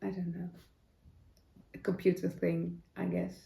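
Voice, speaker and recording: A woman's voice: fairly low pitch, monotone, unhurried, gravelly, warm tone. A middle-aged Dutch woman with a Dutch accent, chatting casually about her day as a knitting vlogger at home, rambling with fillers and self-corrections. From Netherlands. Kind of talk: casual chat. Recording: roomy echo.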